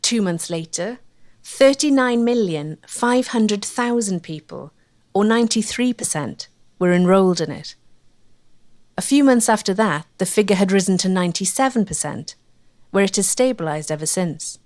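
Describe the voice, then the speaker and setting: A woman's voice: mid-range voice, lively intonation, moderate pace, a little mellow. A woman narrating a history documentary from a script.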